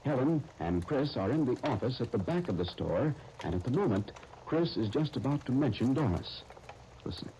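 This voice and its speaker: An American man announcing and narrating from a script in polished, deliberate 1930s radio style. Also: mid-range voice, very animated, mellow. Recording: noisy 1930s radio broadcast recording.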